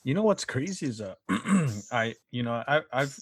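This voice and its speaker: A young man in casual chat, speaking haltingly with many restarts and frequent throat clearing. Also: medium pitch, lively intonation, dry recording.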